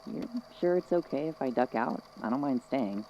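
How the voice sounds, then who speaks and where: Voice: mid-range voice, lively intonation, normal speed, lo-fi sound, slight background noise, natural voice. A performer playing a friendly, easygoing coworker in a scripted audio drama, talking casually. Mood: friendly, amused. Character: easygoing, friendly institute coworker bringing news.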